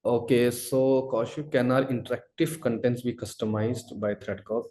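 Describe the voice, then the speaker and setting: A man answering audience questions as a product expert in a live webinar, speaking haltingly and repeating himself as he explains. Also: mid-range voice, steady tone, mellow. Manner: halting, repetitive, hedging delivery. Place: indoor room, webinar call. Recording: roomy, echoey sound.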